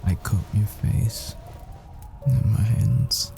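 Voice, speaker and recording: Tender doomed boyfriend played by a male actor, ASMR roleplay: gravelly, halting murmur, faint music behind. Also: lowish voice, dark tone. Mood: tender, teasing. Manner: breathy, halting, intimate murmuring. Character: desperate tender boyfriend, a math professor, facing the world's end.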